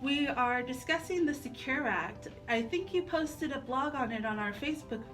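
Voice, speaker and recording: American female radio co-host, very bright tone, fairly high voice, live talk show delivery. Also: animated, moderate pace, faint music behind.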